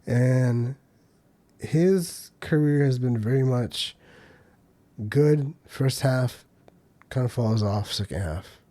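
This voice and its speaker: A man's voice: mid-range voice, slow pace, long pauses, mellow, no room sound. A man hosting a podcast, talking through the week's news in a loose, conversational way with many ums and uhs. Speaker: podcast host. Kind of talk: solo casual commentary.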